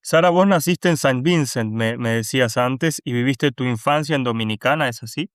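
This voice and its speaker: A man's voice: mid-range voice, moderate pace, clean tone, dry recording, clean studio sound. A man, hosting an interview and asking questions with hesitant, restarting phrasing. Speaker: podcast host.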